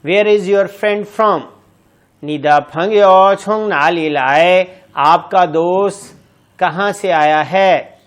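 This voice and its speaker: Male language teacher with a smooth, higher voice. Slow, repeat-after-me drill reading. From Pakistan. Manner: reads each phrase in English, then Chinese, then Urdu.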